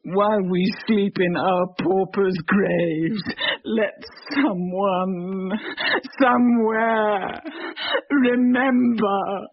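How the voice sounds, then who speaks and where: Slow, anguished possessed woman. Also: mid-range voice, steady tone. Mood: weary, grieving. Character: possessed woman voicing a starving, embittered dead mother. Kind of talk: horror radio drama acting. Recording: dull, lo-fi old radio recording.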